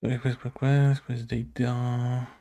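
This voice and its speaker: A man thinking aloud casually as he codes, talking haltingly and muttering to himself like a developer working through a tutorial. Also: mid-range voice, little pitch movement, warm tone. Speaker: game developer making a coding tutorial.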